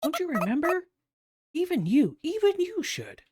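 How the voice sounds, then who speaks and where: A woman voicing young ghost women in a visual novel, with an expressive, animated read-aloud delivery. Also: extremely high pitch, moderate pace, over music, pitched above the performer's own voice, heavily acted. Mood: insistent.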